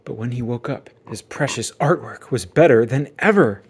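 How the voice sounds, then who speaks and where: A man narrating a children's book aloud as dramatic storytelling, with theatrical emphasis and suspenseful pauses. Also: medium pitch, expressive, slight background noise.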